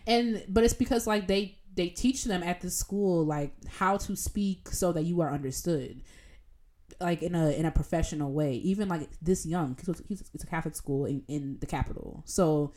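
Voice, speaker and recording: A young adult woman chatting casually as a student co-host, telling stories in an animated, informal way with quoted asides. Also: fairly low pitch, moderate pace. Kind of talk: casual chat.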